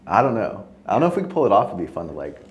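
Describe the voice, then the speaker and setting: A man's voice: on the low side, big pitch swings, racing, dull audio. A man of thirty-one talking candidly on a podcast, tired and losing his voice, swearing casually. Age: 30s. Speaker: podcast host.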